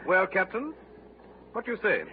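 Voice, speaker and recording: A man playing a formal, unflappable English gentleman in a radio drama, clipped and precise. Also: sits high, sing-song, normal speed. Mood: composed. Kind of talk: 1940s radio drama acting. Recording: tinny old radio broadcast, faint hiss.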